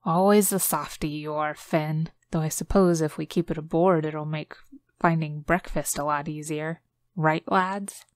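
A woman's voice: low-pitched, fairly even intonation, a little slow, no room sound, clean studio sound. A woman voicing a greedy, smug male Viking gambler who taunts and jokes in a storybook reading. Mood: jovial. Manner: taunting, jovial.

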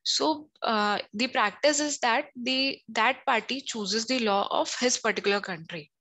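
A woman's voice: fairly high pitch, a little slow, bright, forceful tone. A young woman from India on a headset video call, explaining law hesitantly with many fillers. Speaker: legal analyst guest.

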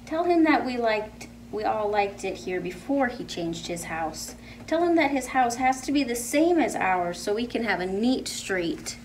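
A woman reader acts out disapproving townspeople from a picture book. The scolding is emphatic and stretched out, pitched up a little, with some background noise. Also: big pitch swings, measured pace, hardly any pauses, poor audio. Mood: indignant. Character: disapproving neighborhood townspeople, scolding in colorful idioms. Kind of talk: picture book read-aloud.

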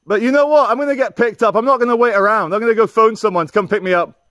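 A man in his thirties filming himself outdoors on a driveway with a handheld camera, talking loudly, pleading and indignant. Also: sits high, rapid-fire, no echo, studio quality. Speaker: YouTuber filming a confrontation. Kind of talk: confrontational self-filmed vlog. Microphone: handheld camera mic.

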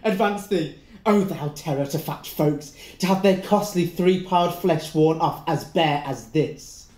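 A bitter, gleeful avenger played by a man declaims Jacobean verse in an echoing room. His delivery is slow and theatrical, in a mellow, slightly high male voice. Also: natural voice. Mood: gleeful, menacing. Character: bitter, gleeful avenger brooding over a poisoned lover's skull. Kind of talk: Jacobean stage soliloquy in audio drama.

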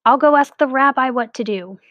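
A woman's voice: high-pitched, fairly quick, fairly bright tone, no echo, lo-fi sound, voice pushed up. A woman voicing an eager, simple-minded village husband from a storybook, earnest and exclaiming. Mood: excited.

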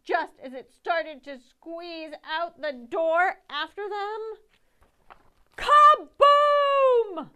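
A middle-aged woman reading a picture book aloud to children, with dramatic, deliberate phrasing. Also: very high voice, pushed and bright. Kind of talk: expressive storytime read-aloud.

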